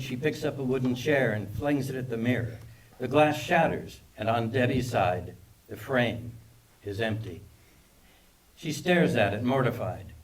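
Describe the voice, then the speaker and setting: A man narrating scripted stage directions at a screenplay table read, picked up from a distance. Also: mid-range voice. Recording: distant mic with background noise.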